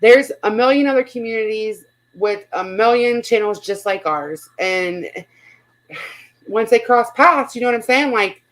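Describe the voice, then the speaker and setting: A woman's voice: fairly high pitch, a little slow, low-quality recording. Blunt, sweary woman chatting casually. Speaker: livestream host. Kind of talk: casual chat and commentary.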